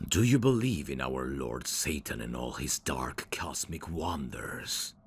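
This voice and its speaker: A man playing a suave, devoted demon servant of hell's royalty, speaking formally and deliberately in an ASMR audio drama. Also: big pitch swings, a little hiss or hum, exaggerated voice. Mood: solemn, ritual. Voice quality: gravelly, very deep. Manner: formal, courtly, slow and deliberate.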